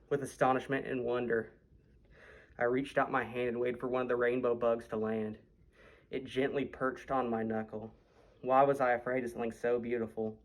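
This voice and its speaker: A teenage male student giving a measured reading of his own creative writing. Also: medium pitch, low-quality recording. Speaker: student reader.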